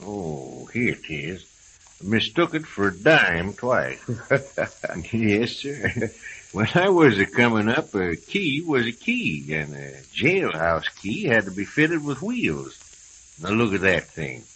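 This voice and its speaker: An American actor playing a good-humored old prospector in a radio western, drawling in rustic cowboy dialect. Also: male voice, on the low side, wildly swooping pitch, slow, a bit bright, breathy edge, heavily acted. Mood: folksy. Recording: hissy, crackly old radio recording.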